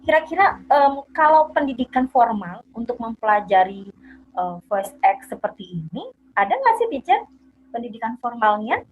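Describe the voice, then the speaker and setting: A woman's voice: a little high, big pitch swings, normal speed. A woman hosting an interview, politely summarizing and asking questions with many fillers, on dull lo-fi audio. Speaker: host, interviewer. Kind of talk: live interview. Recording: dull lo-fi online audio, faint noise.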